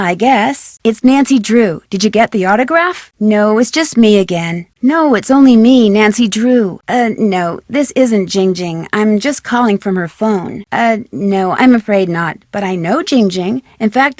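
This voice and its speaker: A woman's voice: on the high side, darkish tone, no echo, clean studio sound. An actress playing a polite, inquisitive young female detective, earnest, in a video game.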